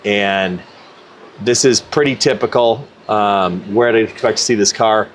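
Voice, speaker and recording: A man's voice: lowish voice, a little slow, slight background noise. A man hosting a car tuning video, explaining in a casual, matter-of-fact, conversational way. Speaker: car tuner and video host.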